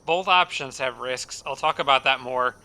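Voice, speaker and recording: A man commentating his strategy game playthrough, thinking aloud with many fillers and restarts, his voice a little raspy from a dry throat. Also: mid-range voice, moderate pace, bright, forceful tone. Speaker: strategy game let's-play host. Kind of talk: casual gameplay commentary.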